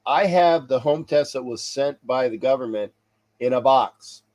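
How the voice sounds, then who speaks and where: A man's voice: medium pitch, measured pace, a little mellow. Congested man rambling on a livestream. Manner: rambling, repeats himself, restarts sentences; chuckles to himself. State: congested, stuffy nose. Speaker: sports talk show host. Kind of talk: casual livestream chat with viewers.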